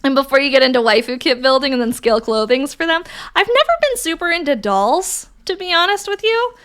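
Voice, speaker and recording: Woman streamer, casual and laugh-prone, loose with swearing, slightly raspy from allergies, with a very high voice. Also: expressive, fairly quick, a bit bright. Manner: laughs often, swears freely, reads chat aloud. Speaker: livestreamer. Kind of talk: casual livestream chat, answering viewers.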